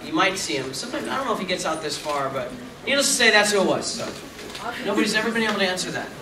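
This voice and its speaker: A man's voice: high-pitched, big pitch swings, racing. A middle-aged man answering fans' questions at a convention panel, chatty and rambling, with self-mocking asides. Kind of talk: casual Q&A with fans. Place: large convention hall. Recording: echoey hall taped from far off, noisy.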